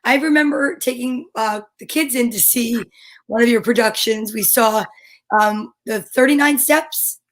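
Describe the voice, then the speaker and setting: Lady host, high voice, chatty and curious, informal interview style. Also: unhurried. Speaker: host of a children's story time show. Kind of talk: casual interview chat.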